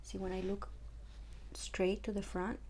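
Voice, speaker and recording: A woman's voice: medium pitch, flat delivery, a little slow, dark tone, slight background noise. A young woman from Ecuador with a Spanish accent, chatting casually through a makeup tutorial at home with lots of fillers. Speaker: makeup artist.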